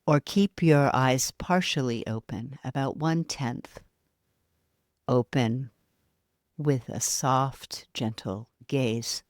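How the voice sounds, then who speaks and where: A woman guides a meditation in a dry, intimate-sounding recording. Her delivery is slow and soft, with long pauses between short phrases. The manner is soothing and unhurried throughout, as a yoga nidra guide. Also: extremely low pitch, no room sound.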